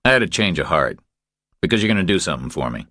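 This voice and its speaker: A man's voice: low-pitched, big pitch swings, quick pace, sharp tone, dry recording, studio quality, exaggerated voice. A man voicing a tough, commanding male drifter in an audiobook, terse, controlled and taunting. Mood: firm, threatening. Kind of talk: audiobook character dialogue.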